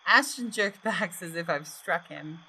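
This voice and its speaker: Bright-voiced woman reading game narration. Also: on the low side, expressive, unhurried, husky, poor audio. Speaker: gaming video host. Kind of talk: reading game narration aloud with casual asides.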